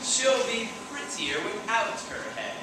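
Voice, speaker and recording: A man's voice: very high-pitched, sing-song, unhurried, heavily acted. A man acting a haughty, rude, cruel fairy-tale prince in a theatrical, declamatory reader's theater style. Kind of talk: rhymed reader's theater stage performance. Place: large hall at a live talent show. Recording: echoey hall from far off, loud noise.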